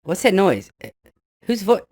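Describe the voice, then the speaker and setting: A man talking through a pitched-up voice changer that makes him sound like a small child, complaining irritably and swearing as he starts telling his story. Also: very animated, slow pace, a little mellow, no echo. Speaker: guest storyteller. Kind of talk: casual chat.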